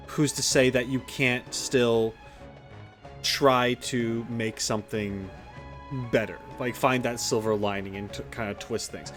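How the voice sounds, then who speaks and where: A man commentating on a game playthrough as a streamer, casual and blunt, rambling and halting as he thinks aloud. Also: medium pitch. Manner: rambling, halting when reflecting, blunt and profane. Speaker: gaming streamer. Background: game music.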